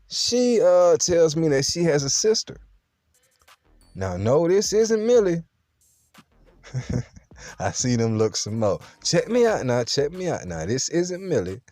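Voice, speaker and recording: A young man telling a true story straight to the camera in a casual, slangy, animated way. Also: on the high side, no echo.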